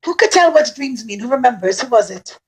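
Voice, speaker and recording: A woman's voice: on the high side, sing-song, fairly quick, background noise. A woman storyteller narrating a puppet show for young children in simple phrases, with questions and dramatic whispers.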